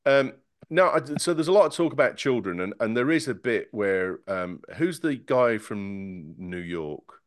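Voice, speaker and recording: A man on a casual tech podcast panel, talking in an animated, sarcastic way with many stumbling restarts. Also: fairly low pitch, unhurried, no room sound, studio quality.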